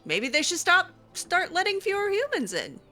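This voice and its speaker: A woman's voice: very high voice, huge pitch swings, normal speed, bright, forceful tone, soft background music. A woman improvising in character during a game playthrough, talking casually and hesitantly with dry sarcasm. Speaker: gaming host role-playing her character.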